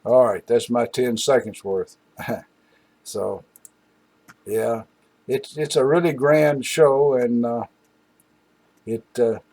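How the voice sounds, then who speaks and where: A man with a husky, mid-pitched voice hosts a show in a casual way. His delivery is folksy and rambling, with a hesitant flow. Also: mellow. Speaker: radio show host.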